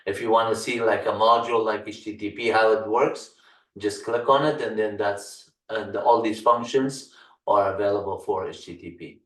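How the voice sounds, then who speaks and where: Afghan-accented guy teaching linux, lots of uh's, kinda low voice, echoey and set back from the mic. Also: steady tone, far from the mic. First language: Farsi. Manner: halting, many "uh" fillers. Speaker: Linux course instructor. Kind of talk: screen-share tutorial walkthrough.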